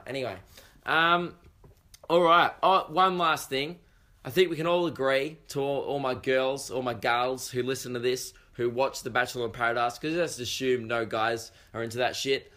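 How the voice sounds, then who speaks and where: Croaky sweary Australian man, rambling. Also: mid-range voice, bright, forceful tone. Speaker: podcast host. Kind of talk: casual comedic monologue.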